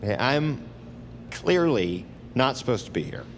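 An actor playing a tense detained assassin, halting and pleading with strained politeness, in an audio drama. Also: male voice, mid-range voice, sing-song, slight background noise. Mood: anxious pleading. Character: tense, desperate detained male assassin insisting he is sane.

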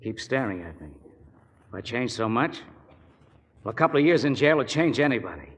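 An actor in a 1940s radio drama playing a cynical ex-convict husband who talks in an insinuating, quietly threatening way. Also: male voice, medium pitch, sing-song, fairly quick, breathy edge. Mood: menacing. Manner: sardonic, insinuating. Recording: old 1940s radio broadcast, reverberant.

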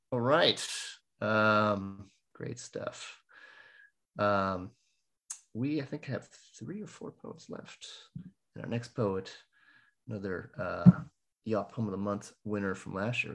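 A man hosting a poetry reading, chatty and halting, with many fillers and restarts. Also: on the low side, expressive, vocal fry, a bit bright. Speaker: open mic host.

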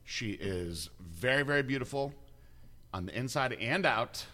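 A man's voice: mid-range voice, animated, unhurried, bright, forceful tone. Chatty man hosting an interview. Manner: upbeat, chatty, many restarts. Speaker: host. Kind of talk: casual interview.

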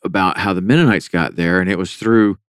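A man hosting a podcast as a professional angler, talking in a relaxed, conversational way. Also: sits low, brisk, clean tone, no echo, clean studio sound. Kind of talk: podcast intro and interview.

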